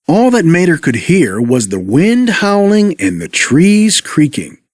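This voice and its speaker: Male children's story narrator, slightly high, very lively pitch, scripted read-along delivery. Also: unhurried, no echo, studio quality.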